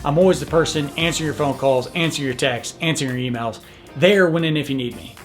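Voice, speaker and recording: A chatty middle-aged man from Colorado talks straight to the camera in a loose, joking way, stumbling and restarting now and then. His voice sits a little higher than most men's. Soft music plays underneath. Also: quick pace. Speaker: real estate host of a city channel. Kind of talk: casual talking-to-camera video.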